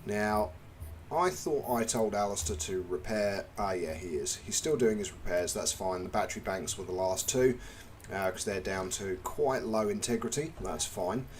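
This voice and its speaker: A man's voice: fairly low pitch, normal speed, slight background noise. Chatty English man streaming a game. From England. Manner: chatty, narrates each click, greets chat. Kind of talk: live gameplay commentary. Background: game music.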